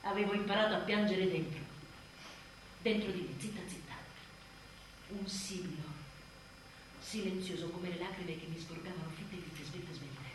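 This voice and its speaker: A woman's voice: on the low side, normal speed, pauses often, natural voice. An actress playing an exhausted, embittered young mother in a theatrical radio monologue, sardonic and volatile. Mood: weary despair. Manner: volatile, sardonic, swearing, swinging from outbursts to murmurs. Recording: roomy echoing stage, distant mic, faint noise.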